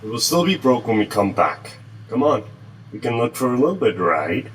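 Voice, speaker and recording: A man's voice: mid-range voice, sing-song, moderate pace, recorded from a distance, a little hiss or hum, natural voice, rough recording. A man playing an adventurous, persuasive utility worker in an audio drama. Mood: eager, coaxing.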